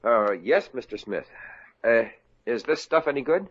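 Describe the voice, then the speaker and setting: A man's voice: medium pitch, expressive, a little slow, husky. An actor playing an eager, bumbling young salesman and boyfriend in a 1950s radio comedy, stammering, on a muffled old broadcast. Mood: flustered. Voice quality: stammering, goofy.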